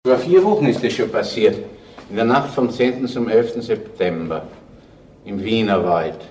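Actor as a dry, persistent Viennese police inspector. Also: male voice, on the low side, lively intonation, moderate pace, darkish tone, slight background noise, close to an everyday voice, rough recording. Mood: pensive. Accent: Austrian, Viennese. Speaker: actor in a radio crime play. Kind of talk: conversational radio drama acting.

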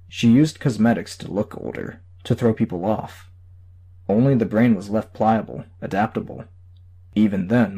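A man's voice: lowish voice, warm tone, husky, heavily acted. A man voicing a secretive, matter-of-fact superhero in an audiobook.

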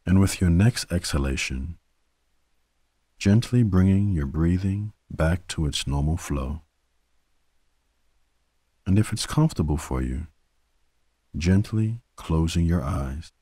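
Very deep, slow male meditation guide. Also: expressive, breathy edge, no echo. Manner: gentle, soothing, unhurried delivery with long pauses. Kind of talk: guided sleep meditation narration.

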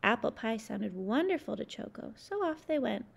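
A woman narrating a children's storybook read-aloud in an expressive way, addressing young listeners. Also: a little high, normal speed, vocal fry.

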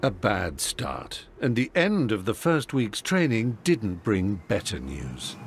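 Animated male documentary narrator. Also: lowish voice, slow, noisy background. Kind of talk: scripted narration. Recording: voice-over with music behind.

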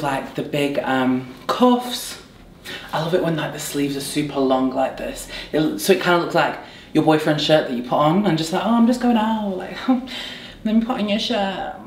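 A young woman talks through a try-on haul, her voice hoarse and a little croaky from a sore throat. She is chatty and bubbly, full of playful asides. The sound bounces around an echoey room, picked up by a camera set across the room. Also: on the low side. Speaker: fashion vlogger. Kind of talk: casual try-on haul chat. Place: bright indoor room at home.

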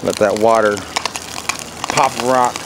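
Man, laid-back backyard cook, loud sizzle and yard noise behind. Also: fairly low pitch, steady tone, slow pace. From Texas. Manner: laid-back, hyped asides and slang. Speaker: home cook. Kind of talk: casual cooking vlog. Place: backyard grill outdoors. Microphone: handheld phone camera. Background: loud sizzling and outdoor noise.

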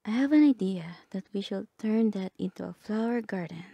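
A woman playing a gentle, lovestruck bard companion in a romantic ASMR roleplay, speaking softly and tenderly, often half whispering. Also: mid-range voice, animated, fairly quick, creaky, no echo. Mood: pleased, tender. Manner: tender, hesitant, polite.

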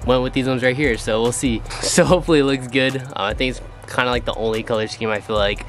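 A man's voice: medium pitch, fairly even intonation, fast talker, a bit bright, soft background music. A man in his twenties vlogging casually about car parts, upbeat and a little nasal from a cold. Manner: upbeat, says "guys" a lot. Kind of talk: casual vlog chat. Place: driveway and garage, outdoors.

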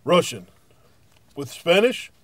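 A man playing an old, earnest Santa Claus, hearty and deliberate as he records greetings in a comedy sketch. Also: on the high side, very animated, fairly bright tone, a little hiss or hum, exaggerated voice. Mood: puzzled, weary. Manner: jolly, hearty, deliberate delivery. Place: small dead recording studio booth. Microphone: studio condenser microphone, close.

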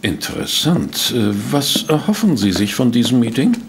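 An actor playing a detective professor's inquisitive sidekick in a German audio drama, polite and questioning. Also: male voice, medium pitch, huge pitch swings, moderate pace, slight background noise. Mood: curious. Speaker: investigator's companion and narrator.

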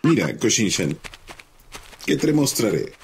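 A man's voice: fairly low pitch, sing-song, a little slow, slight background noise. A man voicing a sly, talkative cartoon fox trickster who wheedles cheerfully. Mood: gleeful. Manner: chatty, wheedling.

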